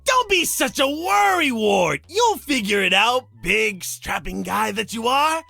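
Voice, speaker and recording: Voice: mid-range voice, very animated, unhurried, creaky, sharp tone, no room sound, soft background music, exaggerated voice. An actor playing a flamboyant, teasing demon master of ceremonies in a visual novel, announcing with theatrical relish. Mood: playful coaxing. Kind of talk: character acting in a visual novel.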